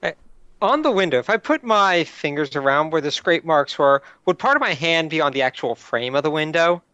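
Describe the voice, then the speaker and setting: A man's voice: fairly high pitch, expressive, a little fast, bright, forceful tone, clean tone, dry recording, lo-fi sound. A man playing a tabletop role-playing game, casually asking questions about the scene.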